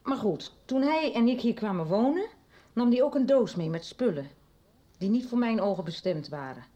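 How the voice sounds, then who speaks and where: A woman's voice: a little high, animated, warm tone, lo-fi sound. Hesitant worried mother. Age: middle-aged. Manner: hesitant, halting confessional. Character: worried mother, guarded and confiding. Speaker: mother in a radio soap. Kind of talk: radio drama acting.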